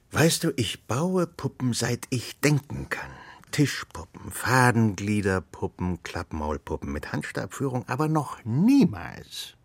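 Husky, animated old puppet maker. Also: male voice, mid-range voice, no room sound, full character voice. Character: poor old male puppet maker, gruff but kindly. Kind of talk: German children's radio play acting.

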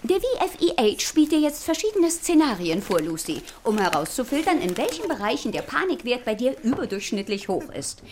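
An actress playing a flustered, nerdy expert lecturing children in stilted technical language in a radio play. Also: female voice, a little high, sing-song, brisk, hardly any pauses, darkish tone, slight background noise. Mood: explaining. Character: flustered, nerdy woman expert teaching children about fear.

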